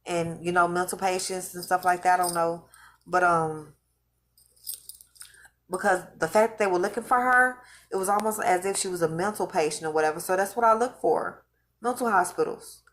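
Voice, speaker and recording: A woman's voice: fairly low pitch, fairly bright tone. A woman who is losing her voice, talking casually and rambling to her viewers, repeating and restarting phrases as she recounts visions. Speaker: vlogger recounting religious visions. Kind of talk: casual spoken monologue to viewers.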